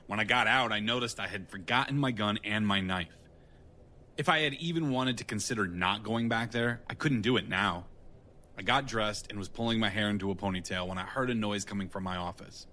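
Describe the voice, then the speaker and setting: A hard-boiled gun for hire acted by a man in a noir audio drama. He is blunt and wry, with a fairly low voice and a forceful, cutting tone. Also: fairly quick. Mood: matter-of-fact. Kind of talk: audio drama acting and first-person noir narration.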